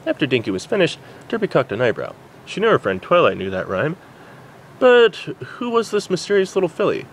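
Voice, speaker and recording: A man's voice: fairly high pitch, huge pitch swings, fairly quick, slight background noise, poor audio. A man narrating a story as an amateur reader, stumbling over words now and then. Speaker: amateur fan fiction reader. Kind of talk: story narration, reading aloud.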